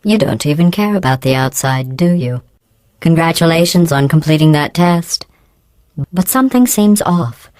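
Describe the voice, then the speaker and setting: A woman playing a passive-aggressive artificial intelligence in a video game, deadpan and falsely polite, with a synthetic, processed robotic cadence. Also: normal speed, no echo. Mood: mocking, insincere. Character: passive-aggressive female artificial intelligence running lab tests. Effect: robotic pitch-corrected voice processing. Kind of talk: scripted video game voice acting.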